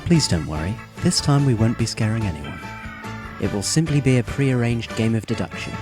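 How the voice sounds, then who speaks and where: A man voicing a polite, smiling teenage boy who speaks in a calm, courteous, measured way. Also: medium pitch, over music, natural voice. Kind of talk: fan audio drama acting.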